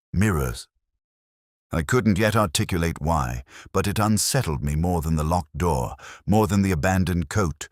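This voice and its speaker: A man reading a scripted first-person detective story as narrator, in a measured, solemn, literary manner. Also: very deep voice, no echo, studio quality.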